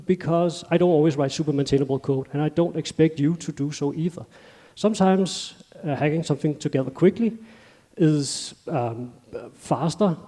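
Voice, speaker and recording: A man with a Danish accent, fairly high for a man and with a mellow, warm tone, speaks from a conference stage. His delivery is conversational and loose, with self-corrections along the way. The sound carries a long reverb from the big hall around him. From Denmark. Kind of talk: technical conference talk.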